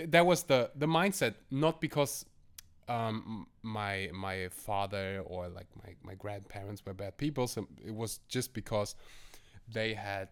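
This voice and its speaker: Guy guesting on a podcast, smooth voice, lots of stops and restarts. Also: lowish voice, steady tone, measured pace. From Germany. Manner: hesitant, full of restarts and laughs. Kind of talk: casual conversational interview. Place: bedroom at home.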